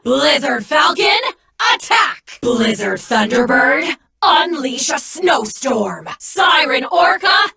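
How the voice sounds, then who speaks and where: A proud young ice warrior woman from an anime card-battle game. Her high voice has a creaky edge and is paced slowly and deliberately. She is imperious and theatrical, a fierce duelist through and through. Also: very animated, pushed and bright, shouting, full character voice. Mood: fierce battle cries. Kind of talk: English anime game voice-over battle lines.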